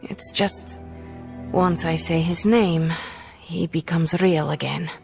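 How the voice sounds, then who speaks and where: Grave guarded game sorceress, deep creaky voice, stiff formal wording, music behind. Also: female voice, steady tone, slow, darkish tone. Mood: ominous, tense. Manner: terse, formal wording without contractions. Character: grave, guarded young sorceress haunted by a dark realm. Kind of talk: video game cutscene acting.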